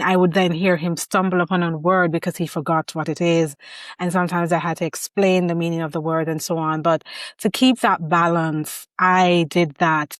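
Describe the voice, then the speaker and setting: A woman's voice: on the low side, no room sound, studio quality. A woman hosting an interview, talking reflectively and sharing her own parenting experience. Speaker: podcast host. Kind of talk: conversational interview.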